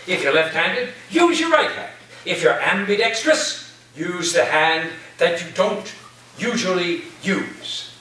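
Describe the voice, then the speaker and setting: A man in a staged play reading, playing an eccentric philosophy professor with a halting, whimsical, theatrical delivery. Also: sits high, animated, bright, forceful tone, full character voice. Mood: instructive, scattered. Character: eccentric male philosophy professor full of wild conspiracy theories. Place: reverberant room, staged reading before listeners. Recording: distant echoey room recording, faint noise.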